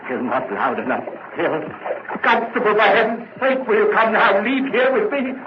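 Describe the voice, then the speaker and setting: Unhinged guilty man, stammering, repetitive, animated pitch, vintage radio melodrama, muffled sound. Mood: edgy, restless. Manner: halting, stammering, repeats himself. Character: agitated, guilt-ridden madman who hears too much. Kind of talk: 1940s radio horror acting. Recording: dull, noisy old radio recording.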